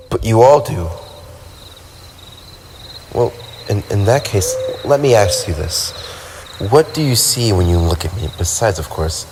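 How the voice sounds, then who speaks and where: A man's voice: sits low, background noise. A man playing a lonely, centuries-old vampire with wounded pride, speaking in wistful, formal, theatrical phrases in an ASMR roleplay. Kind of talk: ASMR roleplay monologue addressed to the listener. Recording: night ambience with crickets and owls.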